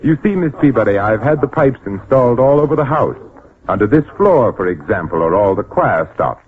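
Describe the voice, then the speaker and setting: An actor playing a cultured, courtly widower with a fervent passion for pipe organs, in a theatrical old-time radio style. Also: male voice, mid-range voice, lively intonation, a little fast, close to an everyday voice. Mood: rapt enthusiasm. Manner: suave, formal, effusive, theatrical. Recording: tinny, band-limited old radio recording with music.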